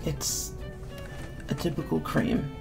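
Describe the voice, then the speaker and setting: A woman's voice: slow, a little mellow, faint music behind. A woman in her thirties, sick and losing her voice, chatting casually and candidly about beauty products on a desk webcam at home. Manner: chatty, candid, mildly sarcastic. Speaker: beauty vlogger. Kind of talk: casual product unboxing chat. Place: small room at home, indoors.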